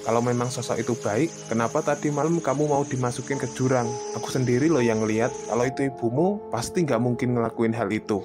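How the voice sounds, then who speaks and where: A man's voice: medium pitch, fast, hardly any pauses, music in the background, lightly acted. A man voicing an anxious, protective young hiker in an Indonesian horror cartoon, speaking colloquially and earnestly with an Indonesian accent. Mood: earnest, firm. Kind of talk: animated horror story dialogue.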